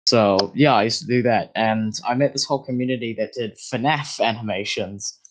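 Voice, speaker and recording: A man's voice: fairly low pitch, moderate pace. A young man chatting casually as a podcast guest, rambling with lots of fillers and restarts. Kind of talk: casual chat.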